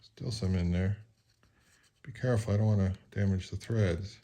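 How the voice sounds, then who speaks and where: A man narrating a casual hands-on how-to, unhurried and thinking aloud as he works. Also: sits low, fairly even intonation, warm tone. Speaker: repair tutorial presenter.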